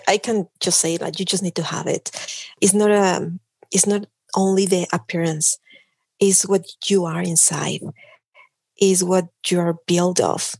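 Gravelly Mexican-accented woman, dry sound. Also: mid-range voice, darkish tone, no room sound. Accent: Mexican Spanish. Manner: earnest, many restarts and "like" fillers. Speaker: guest, image consultant. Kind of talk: casual interview talk.

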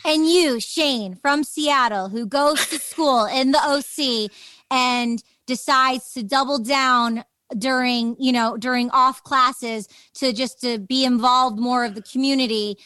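A woman's voice: sits high, steady tone, slow pace, bright, forceful tone, no room sound. A woman hosting a casual conversational podcast interview, speaking in an effusive, encouraging way. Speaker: podcast host.

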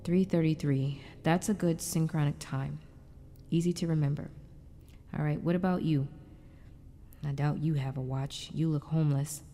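A woman's voice: low-pitched, fairly even intonation, moderate pace, pauses often, mellow, soft background music. A woman playing an anguished but determined wife and mother in an audio drama, narrating in a confiding, reflective way. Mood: uneasy, focused. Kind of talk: first-person dramatic narration.

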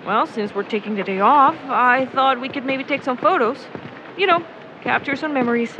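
A woman's voice: high voice, normal speed, lo-fi sound, background noise, natural voice. A woman playing a friendly, teasing young man in casual, naturalistic audio drama dialogue. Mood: upbeat, persuading. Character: friendly, teasing young man, camera-loving travel companion.

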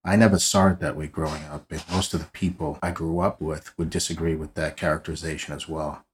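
Boston-accented male podcast host, forties, very low voice, dry wry delivery. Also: level tone, a little fast, slight background noise. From South Boston, USA. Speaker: podcast host, private investigator. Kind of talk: scripted podcast narration.

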